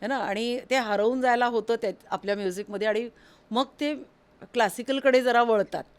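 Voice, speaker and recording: A woman's voice: a little high, normal speed, clean tone. A woman interviewing a guest in a conversational, encouraging and affirming way.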